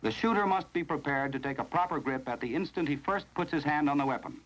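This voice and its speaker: An American man reading scripted narration in the formal, measured, instructional manner of a 1970s training film, on a vintage film soundtrack. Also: on the high side, lively intonation, low-quality recording.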